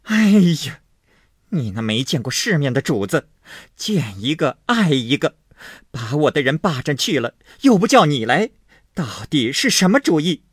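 A reader voicing a spiteful, scheming young wife who sneers and wails accusations in a storybook reading. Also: female voice, very deep voice, big pitch swings, takes it slowly, pauses often, breathy edge, no echo, studio quality, voice pushed up, exaggerated voice. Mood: scornful shrill.

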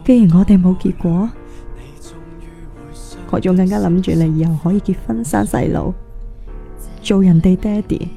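A woman's voice: lowish voice, normal speed, creaky, pauses often, mellow. A woman playing a tender, tearful young ghost bride in radio drama. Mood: tearful, loving. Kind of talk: Cantonese radio drama acting. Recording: music under the voice.

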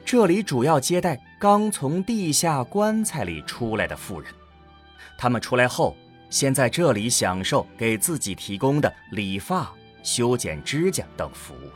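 A man's voice: mid-range voice, sing-song, measured pace, soft background music. A man narrating a scripted science fiction audio drama.

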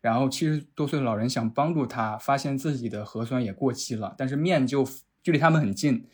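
Slightly husky man chatting casually. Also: medium pitch, fairly quick. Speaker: podcast host. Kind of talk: casual reflective conversation.

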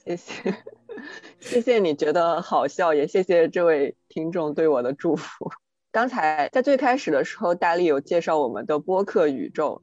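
A woman's voice: sits low, darkish tone, dry recording. A woman co-hosting a podcast, chatting casually and reading out book recommendations from listeners. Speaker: podcast co-host. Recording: dull lo-fi sound, faint music behind.

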